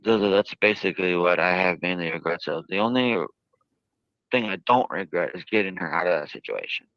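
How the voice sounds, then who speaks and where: A man giving interview answers in a halting way, with drawn-out phrasing. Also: low-pitched, no echo, lo-fi sound. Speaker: jail inmate interviewed by a reporter.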